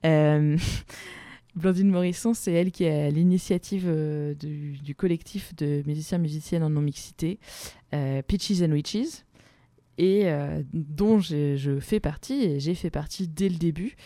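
A woman's voice: low voice, unhurried, mellow, no room sound. A woman musician and playwright answering interview questions casually, hesitant with many fillers and restarts, laughing often.

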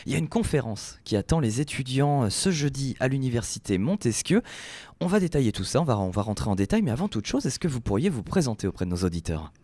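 A man hosting a radio interview, asking questions in a relaxed, conversational way. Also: mid-range voice, quick pace, no echo. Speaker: radio host. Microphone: studio microphone.

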